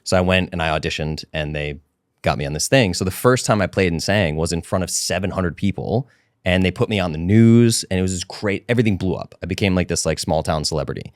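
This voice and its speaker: A man from Rhode Island, a podcast guest, telling stories about his music life in animated, casual chat. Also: low voice, no echo, studio quality. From Rhode Island, USA. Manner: animated, fast storytelling.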